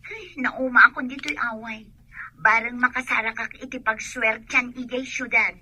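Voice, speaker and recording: A woman's voice: a little high, steady tone, normal speed, bright, forceful tone, a little hiss or hum, poor audio. An actress in a radio drama playing a restless young rural woman eager for city life, with a Filipino accent. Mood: eager, insistent.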